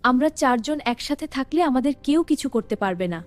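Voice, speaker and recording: A brave big-sister ghost, acted by a woman in a cartoon. Her voice is high and reassuring, with light music underneath. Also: lively intonation, fast. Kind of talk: cartoon voice acting.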